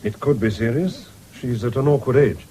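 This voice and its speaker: An actor in a 1970s radio drama playing a genteel, considerate middle-aged country doctor. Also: male voice, mid-range voice, moderate pace, warm tone, lightly acted. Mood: grave. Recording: noisy old radio drama recording.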